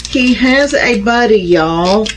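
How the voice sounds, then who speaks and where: A woman's voice: fairly high pitch, lively intonation, slow pace, a little hiss or hum, rough recording. Chatty woman with a slight cold. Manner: chatty, homey, addresses viewers as y'all. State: starting a cold, a bit raspy. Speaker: crafting channel host. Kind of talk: casual unboxing vlog.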